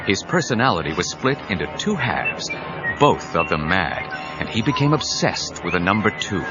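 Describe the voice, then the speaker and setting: An actor narrating a superhero audio drama as a suspenseful storyteller. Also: male voice, sits low, big pitch swings, normal speed. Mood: dramatic, suspenseful. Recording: dull 1990s cassette, music under voice.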